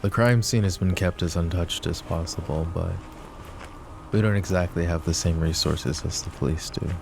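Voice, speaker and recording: A man playing a possessive, overprotective mafia boss in an intimate roleplay audio drama, with a low gravelly voice and a measured, commanding manner. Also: a little mellow, faint music behind. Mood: serious, measured. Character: possessive, overprotective male mafia boss, curt but caring. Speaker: voice actor.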